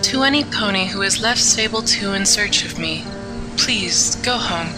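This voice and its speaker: A woman voicing an earnest, sorrowful but resolute female pony in an audiobook, speaking softly and solemnly in a measured way. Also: mid-range voice, animated, music in the background, close to an everyday voice, low-quality recording. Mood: solemn pleading. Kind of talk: audiobook character acting.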